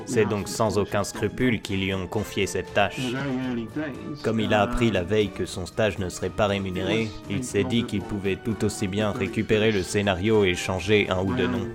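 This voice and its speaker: Low-voiced man narrating a mock documentary. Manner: mock-serious, deliberate.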